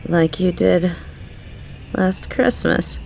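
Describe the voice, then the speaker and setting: A woman's voice: lowish voice, very slow, gravelly, a little hiss or hum, heavily acted, low-quality recording. A woman playing a flirty, possessive and unhinged female mafia boss in a roleplay audio drama, theatrical in her delivery. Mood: flirty, teasing. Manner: theatrical, swinging from sweet cooing to manic shouting and muttering.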